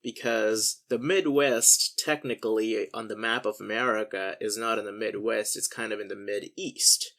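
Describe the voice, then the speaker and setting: A man's voice: fairly low pitch, fairly bright tone. A man from India, co-hosting a podcast, telling stories in a wry, casual way and acting out other people's lines. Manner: wry, storytelling, acts out quoted voices. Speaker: podcast co-host. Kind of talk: casual chat.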